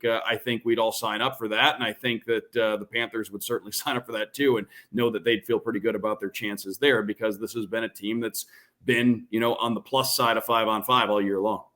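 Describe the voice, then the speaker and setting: Radio play by play guy on a podcast, lowish voice, smooth announcer delivery. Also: fairly bright tone. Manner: fluent, plugs broadcast details like a radio announcer. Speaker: radio play-by-play broadcaster as guest. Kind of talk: casual podcast interview.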